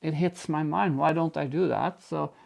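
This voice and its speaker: A man's voice: fairly high pitch, steady tone, normal speed, vocal fry, a little mellow. A man co-hosting a knitting show, chatting enthusiastically and laughing easily as he explains his work. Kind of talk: casual chat and knitting explanation.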